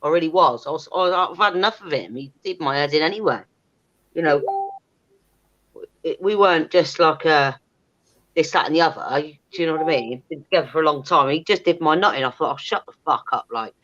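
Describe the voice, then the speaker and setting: A woman's voice: sits low, a little fast, fairly bright tone, highs cut off. A woman, chatting candidly in a blunt, sweary, emphatic way. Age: 30s. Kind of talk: candid casual chat.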